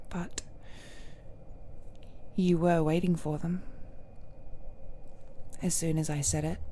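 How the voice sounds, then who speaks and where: An ancient cursed villainess, acted by a woman, speaks slowly and intimately, slipping toward a whisper. Her voice is dark and soft with some gravel in it, possessive and brooding, as in a romantic audio drama. A faint noise sits behind her. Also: on the low side. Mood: regretful, wistful. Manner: breathy, slow, half-whispered, dramatic pauses, soft sighs and chuckles.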